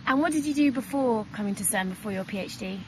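Interviewer, woman, encouraging, mid pitch, outdoor noise behind her. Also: fast talker. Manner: encouraging, frequent mm-hmm. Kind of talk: casual interview questions.

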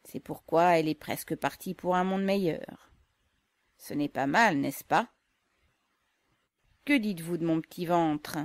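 A woman reading aloud, voicing a jovial stout young man with a hoarse voice who chatters loudly without pausing for breath. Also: fairly low pitch, no echo.

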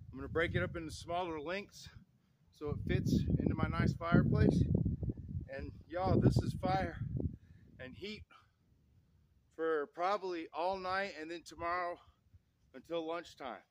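A man, talking to camera in snowy woods in a folksy, preachy way and saying "y'all" a lot. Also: sits high. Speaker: off-grid vlogger. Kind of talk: outdoor vlog talk to camera. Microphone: camera on tripod, a few meters away.

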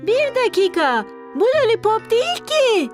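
A performer voicing a cheerful, curious little boy in a children's cartoon dub, with an eager, expressive childlike delivery. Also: extremely high pitch, a little slow, faint music behind, exaggerated voice. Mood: excited.

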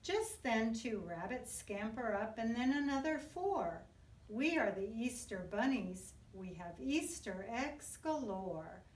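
Animated, high-voiced woman reading to children. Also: slow pace, a little hiss or hum. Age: middle-aged. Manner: expressive, sing-song storytelling for young children. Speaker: kindergarten teacher. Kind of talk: read-aloud of a children's picture book. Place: indoor classroom. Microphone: camera a few feet away. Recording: roomy echo, distant microphone.

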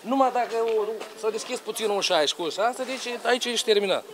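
A man's voice: sits high, lively intonation, a crowd in the background, noisy background. A man in his forties, a market trader complaining in a street interview into a reporter's handheld microphone. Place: outdoor bazaar lane among stalls.

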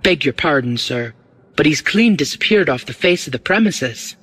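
A man's voice: a little high, animated, moderate pace, fairly bright tone, breathy edge. A man voicing a glib, eager porter in an audiobook, with a working-class London accent and a confiding, deferential manner.

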